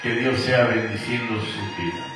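A man from Guatemala preaching a sermon and sending greetings to listeners in a slow, drawn-out cadence. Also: on the low side. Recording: echoey, distant, with music behind. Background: instrumental music.

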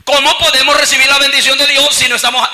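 A man's voice: very high voice, level tone, fast talker, bright, forceful tone, big-room sound. A man with a Salvadoran accent preaching an evangelical sermon, emphatic and full of "gloria al Señor" and "aleluya" interjections. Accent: Salvadoran Spanish.

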